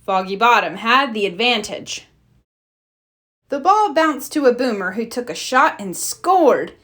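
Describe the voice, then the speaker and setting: Animated, high-pitched woman storybook reader. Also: a little slow. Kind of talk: animated children's read-aloud narration.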